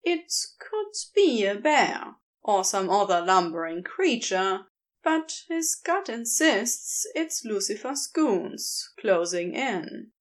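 A woman reading a fan fiction story aloud as a podfic, in an expressive, deliberate narration. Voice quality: lively, expressive reading with wide pitch swings. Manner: slow, deliberate storytelling pace. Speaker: podfic reader.

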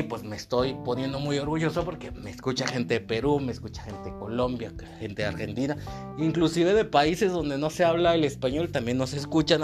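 Chatty Mexican man over guitar music. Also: medium pitch. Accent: Mexican Spanish. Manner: chatty, informal, hesitant with fillers and restarts. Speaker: podcast host. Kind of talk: casual solo podcast chat.

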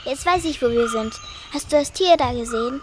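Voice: high voice, a little fast, a little hiss or hum, natural voice. A child playing a knowing, bossy young explorer in a school radio play, in a chiding, explanatory manner. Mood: alert.

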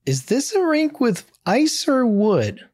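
A woman voicing an anxious, fussy teenage boy with a prim, deadpan, worrying manner in a comedy script read. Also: fairly high pitch, takes it slowly, full character voice. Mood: fussy, worried.

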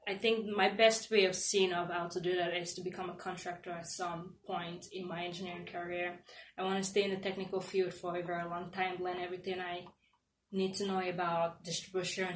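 A young woman from Nigeria, an engineering student, answering interview questions in a loose, chatty way with fillers. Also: on the low side. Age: young adult. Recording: roomy echo, faint noise.

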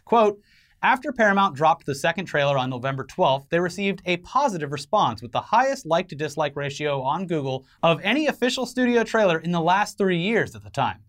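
Higher-voiced man co-hosting entertainment news. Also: big pitch swings, fairly quick. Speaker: co-host of an entertainment news show. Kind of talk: semi-scripted news commentary with casual banter.

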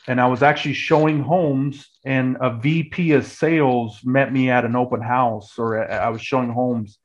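Man, early forties, American accent, casual storytelling, halting with repeated phrases, mid-range pitch, slightly muffled recording. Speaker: guest, real estate agent.

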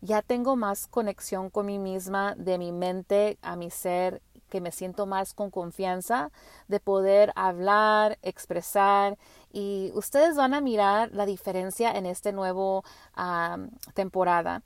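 A woman whose first language is English hosting her spiritual podcast, talking gently with frequent restarts and fillers. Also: mid-range voice, fairly even intonation, slow, clear, resonant tone, no echo, studio quality. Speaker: podcast host. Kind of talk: casual spiritual monologue.

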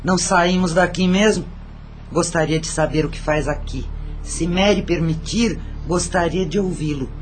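A man's voice: a little high, moderate pace, a little hiss or hum, lightly acted. An actor playing a polite, curious dead man with a Brazilian accent in a radio drama. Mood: curious, courteous. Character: polite, curious murdered man seeking answers. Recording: music under the voice.

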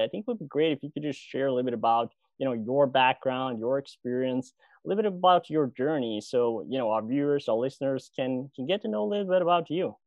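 A man's voice: medium pitch, darkish tone, dry recording. A man hosting an interview, chatty and loose, filling his speech with "you know" and "like". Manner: rambling, many "you know" and "like" fillers. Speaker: host. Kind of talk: casual interview. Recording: dry, dull lo-fi audio.

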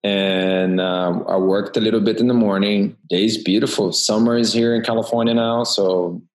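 A man's voice: lowish voice, steady tone, moderate pace. A middle-aged man, a guest talking in a casual interview, often repeating words and restarting. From Brazil. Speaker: guest designer.

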